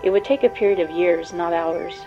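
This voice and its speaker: A woman's voice: lowish voice, fairly quick, lightly acted. A woman playing a serious, clinical starship doctor in a sci-fi audio drama. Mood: clinical, grave. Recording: dull, band-limited voice under music.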